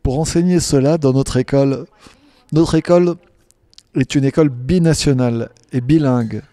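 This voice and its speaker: A man interpreting a panel speaker simultaneously, talking in halting phrases with frequent restarts and self-corrections. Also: medium pitch, mellow, no room sound. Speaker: conference interpreter.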